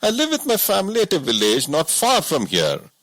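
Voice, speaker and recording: Man voicing a high-pitched, frank woodcutter. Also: wildly swooping pitch, fairly quick, a bit bright, no echo, heavily acted. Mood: wondering, frank. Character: poor hardworking woodcutter, frank and wondering, family man. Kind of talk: audiobook storytelling.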